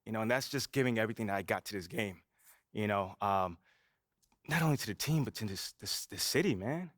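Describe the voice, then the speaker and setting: A brash young basketball rookie. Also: male voice, fairly low pitch, brisk, a bit bright, no echo, studio quality. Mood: composed. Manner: laid-back, brash, peppers speech with "you know". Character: confident young male pro basketball rookie. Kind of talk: video game cutscene voice acting.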